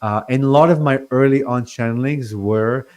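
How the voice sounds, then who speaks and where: A man in his forties, born in Lebanon, talking as a guest on a call-in interview; he stutters and speaks haltingly with many fillers and restarts. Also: mid-range voice, darkish tone. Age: 40s. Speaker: guest channeler. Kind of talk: casual interview talk.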